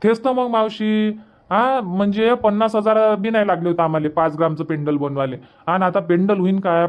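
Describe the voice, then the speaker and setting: Man voicing a high-pitched fretful young villager. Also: muffled recording, close to an everyday voice. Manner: fretful, questioning, emphatic. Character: worried young village son, fretting over costs. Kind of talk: Marathi cartoon comedy dubbing.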